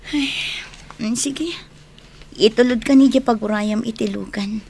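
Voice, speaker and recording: An actress playing a longing young grocery worker in love, plaintive, with an Ilocano accent, in a radio drama. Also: female voice, a little high, unhurried, vocal fry, darkish tone, slightly rough, heavily acted. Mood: frustrated, resigned. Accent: Northern Philippine Ilocano.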